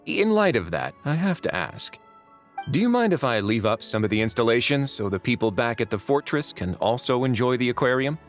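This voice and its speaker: A man voicing a composed, courteous warden in a game, measured and dryly practical. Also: medium pitch, very animated, soft background music. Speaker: game character, prison warden.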